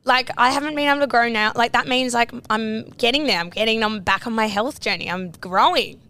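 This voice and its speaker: A young Australian woman chats casually on a podcast, rambling with lots of "like" and quick giggles. Her voice is bright and smooth. The sound is dry and close. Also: on the high side, no echo. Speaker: podcast co-host. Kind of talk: casual chat.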